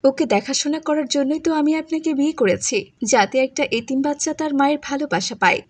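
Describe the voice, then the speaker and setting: Woman as a high-voiced tender cartoon stepmother. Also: quick pace, no echo, natural voice. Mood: tender, reassuring. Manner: tender, coaxing. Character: kind, patient, coaxing new stepmother. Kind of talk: animated moral story voice acting.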